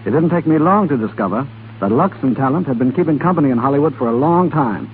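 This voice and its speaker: A man's voice: mid-range voice, expressive, fast talker. An American man as a guest in a scripted 1930s radio interview, reading his answers stiffly. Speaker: studio talent executive as radio guest. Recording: tinny, hissy 1930s radio broadcast.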